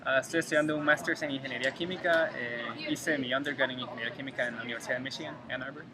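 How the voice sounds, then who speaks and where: A man's voice: mid-range voice, very fast, a crowd in the background. A young man from Guatemala with a Guatemalan Spanish accent, answering interview questions thoughtfully with many hesitations. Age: 20s. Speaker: interviewee, graduate student. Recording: noisy room ambience.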